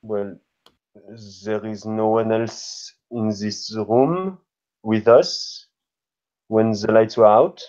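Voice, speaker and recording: Slow, hesitant man with dull lo-fi sound. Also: fairly low pitch, darkish tone. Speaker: player in a tabletop role-playing game. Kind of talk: improvised roleplay narration.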